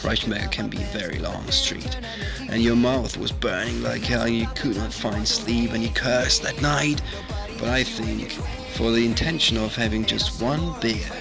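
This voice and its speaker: A man's voice: medium pitch, normal speed, creaky, music in the background. A man playfully telling a fond anecdote as a farewell message to a friend.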